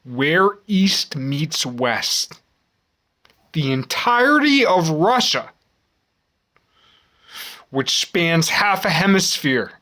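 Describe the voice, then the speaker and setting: A man in his forties with an American accent, giving a rambling, reflective monologue in a halting, musing way with repeated phrases. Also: on the high side, big pitch swings, sharp tone. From United States. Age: 40s. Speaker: vlogger.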